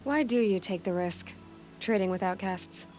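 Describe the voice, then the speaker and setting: A woman plays a headstrong, caring young huntress in a video game. Her voice sits at a middle pitch, direct and determined, with faint music behind it. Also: normal speed, a little mellow, lightly acted. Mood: curious, probing. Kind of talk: video game dialogue acting.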